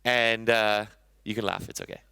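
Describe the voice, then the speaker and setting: Bright, crisp-voiced chef on stage. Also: male voice, lowish voice, fairly even intonation, normal speed, dry recording. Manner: conversational, self-correcting, enthusiastic about food. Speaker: chef giving a conference talk. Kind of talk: conference talk. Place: conference stage.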